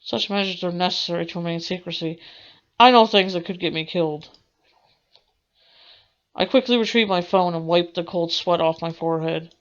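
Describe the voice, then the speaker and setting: A woman's voice: fairly low pitch, moderate pace, long pauses. Theatrical woman reading a game, dull audio. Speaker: game streamer. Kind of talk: dramatic read-aloud of visual novel narration. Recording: dull audio with faint music.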